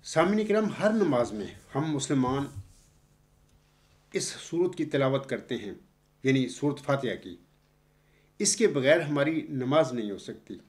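An older man giving a Friday sermon with a close mic, explaining scripture and chanting Arabic verses in long, drawn-out phrases. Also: mid-range voice, expressive, darkish tone. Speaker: preacher. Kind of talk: Friday sermon with slow Quranic recitation. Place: indoors, seated before a backdrop screen. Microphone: close studio mic in front of the face.

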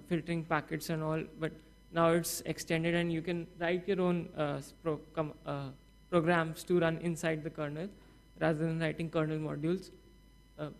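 A young man gives a technical talk from a conference stage, with a slightly high voice. He speaks haltingly, stopping often with fillers and restarting his sentences. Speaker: student project presenter.

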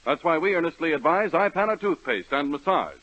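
A man's voice: on the high side, lively intonation, fairly quick, fairly bright tone, dry recording. A man reading an earnest, persuasive radio commercial in 1930s announcer style. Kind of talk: scripted radio advertisement. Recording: dull, dry 1930s radio recording.

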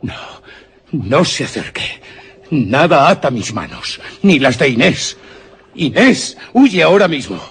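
An actor playing a defiant, anguished hero in suspense radio theatre, speaking dramatically and deliberately. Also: male voice, a little high, big pitch swings, a bit bright, slight background noise, exaggerated voice. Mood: urgent. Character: defiant, anguished hero pleading against a madman.

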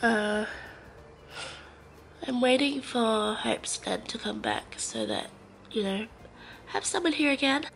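Congested young Australian woman vlogging slowly. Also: on the high side, wildly swooping pitch, fairly bright tone, slight background noise, rough recording. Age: young adult. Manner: rambling, hesitant, many fillers. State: congested, blocked nose. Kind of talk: casual vlog chat to camera. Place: living room of a house, indoors. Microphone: handheld camera at arm's length.

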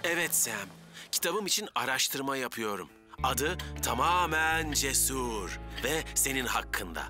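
A man's voice: mid-range voice, expressive, a little slow, over music, natural voice. A man voicing an eager, bumbling cartoon fireman with a stammering, over-enthusiastic delivery. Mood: excited. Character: eager, bumbling young fireman, excitable admirer. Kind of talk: dubbed children's cartoon acting.

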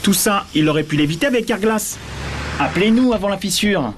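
Male ad read, persuasive, lively high voice, noisy audio. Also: fairly quick. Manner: clear persuasive sales pitch. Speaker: advertising spokesman. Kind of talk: scripted radio ad read.

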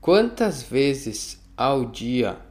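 A man teaching phrases, reading each one slowly and repeating it for learners. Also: medium pitch, very animated, a little mellow. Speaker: language teacher. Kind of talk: slow phrase drill for learners.

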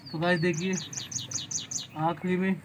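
A young man giving excited, repetitive casual vlog commentary to his viewers outdoors. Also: sits high, unhurried. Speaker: vlogger. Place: open dirt farmyard, outdoors. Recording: noisy outdoor yard, birds and animals.

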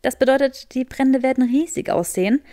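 A woman's voice: sits high, brisk, mellow, no room sound, studio quality, natural voice. A woman voicing a clever, earnest and determined young witch, explaining eagerly in an audiobook. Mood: eager, explanatory.

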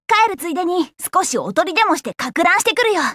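A woman's voice: extremely high pitch, very animated, fast talker, bright, forceful tone, dry recording, studio quality, heavily acted. A woman voicing a plucky, earnest, friendly young fox-eared girl adventurer in a Japanese anime game, with boyish, frank, upbeat phrasing. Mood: calm, friendly. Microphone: close, dry studio recording.

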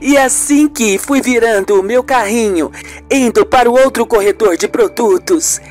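A woman acts out an earnest friend with accusations to make in a radio soap. She is insistent and explanatory in a high voice, with music underneath. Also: normal speed, darkish tone, close to an everyday voice. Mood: confiding. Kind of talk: amateur radio soap acting.

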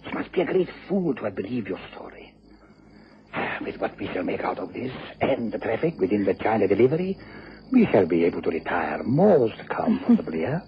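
An actor playing a smug, menacing gentleman blackmailer with mock-courteous, gloating manners in a radio drama. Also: on the low side, very animated, brisk. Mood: triumphant. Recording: dull, noisy old radio recording.

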